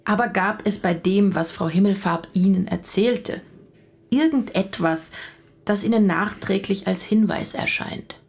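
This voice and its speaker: A woman's voice: mid-range voice, measured pace, creaky, a little mellow. A woman playing a polite police inspector in a radio drama, questioning in a careful, businesslike way. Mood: probing. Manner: probing, hesitant, businesslike. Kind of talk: German radio drama acting.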